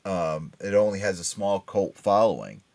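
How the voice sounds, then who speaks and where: A man's voice: deep voice, lively intonation, moderate pace, darkish tone. A middle-aged or older American man hosting a casual pop culture talk show, chatty and emphatic. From Norwalk, Connecticut, USA. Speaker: radio and podcast show host.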